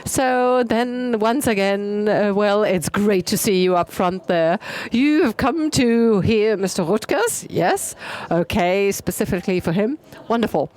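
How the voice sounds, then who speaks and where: A woman's voice: medium pitch, animated, a little slow, a little mellow. A woman introducing the next speaker at a conference, in a chatty way with hesitation fillers.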